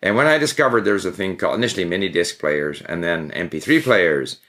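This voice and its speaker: An older man from Canada, a guest in an interview, telling his story in a relaxed, reflective way. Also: sits low, fast. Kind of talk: interview conversation.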